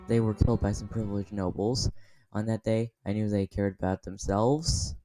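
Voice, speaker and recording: A man's voice: on the low side, steady tone, moderate pace, warm tone, natural voice, low-quality recording. A man reading the part of a kind, supportive, plain-spoken young woman in a dramatic reading. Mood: bitter. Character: kind young woman who grew up poor. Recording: music behind voice. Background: music.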